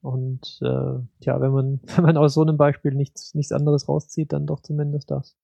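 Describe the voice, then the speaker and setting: A man co-hosting a podcast, his voice gravelly and dark, set a little high. He speaks in a flat, even tone, hesitating and restarting often. The sound is dry and close. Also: moderate pace, no echo, muffled recording. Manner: hesitant, many fillers and restarts. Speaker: podcast co-host. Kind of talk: casual podcast chat.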